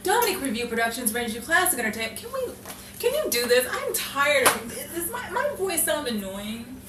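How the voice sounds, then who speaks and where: A woman with a highish, wildly swooping voice banters casually as a radio drama producer. She is excitable and teasing, heard in an echoey room. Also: normal speed. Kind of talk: casual rehearsal banter with bits of announcing. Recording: roomy, distant mic, loud noise and clicks.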